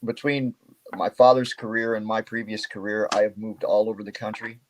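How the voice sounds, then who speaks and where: A man's voice: fairly low pitch, level tone, a little fast, background noise. Friendly man from Memphis plugging shows. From Memphis, Tennessee. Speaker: guest musician. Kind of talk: casual interview chat.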